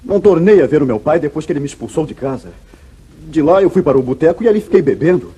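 Casting: wronged wayward son, Brazilian accent, very raspy, animated and earnest, 1950s radio melodrama. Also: male voice, fairly high pitch, quick pace, heavily acted. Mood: anguished, confused. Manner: emotional, dramatic, earnest. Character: anguished, falsely accused young wayward son. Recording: muffled vintage radio drama recording.

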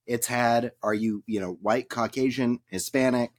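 A man's voice: medium pitch, fairly even intonation, normal speed, no room sound, studio quality. A man co-hosting a podcast who asks probing, teasing questions in casual debate. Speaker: podcast co-host.

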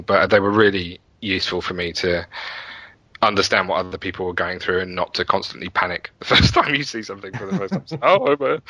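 A man's voice: sits low, pushed and bright, dry recording, highs cut off. A British man chatting casually as a podcast guest, talking in a rambling, self-interrupting way. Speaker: podcast guest, web developer.

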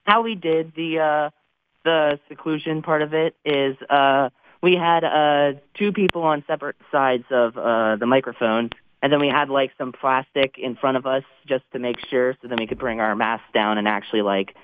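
A teenage young man, a student actor, chatting in an interview over a tinny line, halting as he searches for words. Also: mid-range voice, fairly even intonation, slight background noise. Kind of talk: casual chat.

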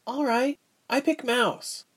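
A man's voice: very high-pitched, steady tone, slow pace, fairly bright tone, slightly rough, pitched above the performer's own voice, heavily acted. A man voicing a tiny, timid young woman captive in an audiobook story.

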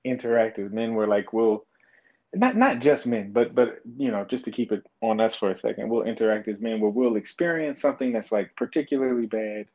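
A man's voice: lowish voice, brisk, darkish tone. A man in his twenties, a podcast guest, speaking thoughtfully and hesitantly with many fillers. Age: 20s. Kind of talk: casual interview chat. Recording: slightly dull lo-fi audio.

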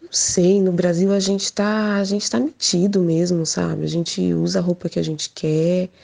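A woman shares a personal account informally and reflectively. Her voice is low and full of vocal fry, with very little melodic movement. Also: flat delivery, normal speed, dark tone. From Portugal. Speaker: guest speaker sharing personal experience.

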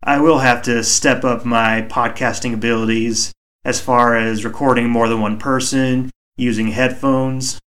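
Male solo podcast host, mid-pitched, soothing and measured, home mic. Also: fairly even intonation, a bit bright. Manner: measured, reflective, deliberately slow. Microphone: home microphone.

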